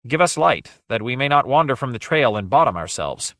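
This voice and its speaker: A man's voice: mid-range voice, very animated, a little fast, a bit bright, no echo, clean studio sound, voice pushed up. A man acting a bold, defiant male adventurer in a fantasy story, speaking in a proud, formal, archaic and declamatory way. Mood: challenging, commanding.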